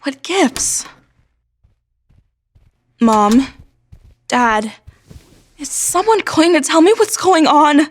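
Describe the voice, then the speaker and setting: High-voiced, confused teenage girl. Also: expressive, very slow, long pauses, exaggerated voice. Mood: bewildered. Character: confused, defensive teenage schoolgirl. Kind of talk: audio drama acting. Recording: music under the voice.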